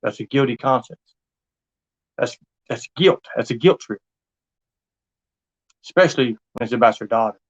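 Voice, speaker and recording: On dull, lo-fi livestream audio, a man talks at a middling pitch. He is insistent and repetitive, pressing his points in a confrontational monologue. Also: normal speed, pauses often. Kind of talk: impassioned monologue to an absent person.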